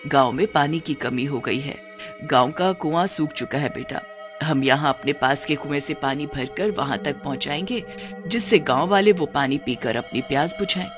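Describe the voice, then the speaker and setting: Woman as a low-voiced motherly storybook figure. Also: normal speed, music in the background, natural voice. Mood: kindly. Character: gentle, kindly village mother talking to her daughter.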